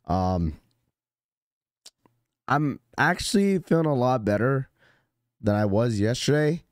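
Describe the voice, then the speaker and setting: A young man with a hoarse, slightly cracky, congested voice, casually hosting a live stream and greeting viewers by name with laid-back slang. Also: mid-range voice, very animated, slow, no room sound, clean studio sound. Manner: laid-back slangy shout-outs with fillers. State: hoarse, recovering from illness. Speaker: livestream host.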